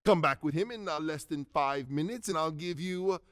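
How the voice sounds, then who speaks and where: A man playing a reformed old miser in a radio drama, giddy with joy and stammering as he excitedly repeats himself. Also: fairly high pitch, normal speed, no echo, studio quality, natural voice. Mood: exultant, eager. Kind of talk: theatrical radio drama acting.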